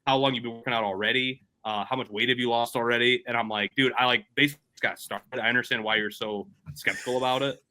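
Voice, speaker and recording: Bright, rambling man telling stories. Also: medium pitch, steady tone, fast, hardly any pauses, poor audio. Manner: rambling storyteller, laughs often. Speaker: podcast co-host. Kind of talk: casual chat.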